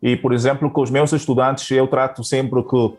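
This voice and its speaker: A man's voice: mid-range voice, level tone, moderate pace, darkish tone, faint music behind. Hesitant man from Angola, teacher guest. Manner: hesitant, many fillers and restarts. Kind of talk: interview answers.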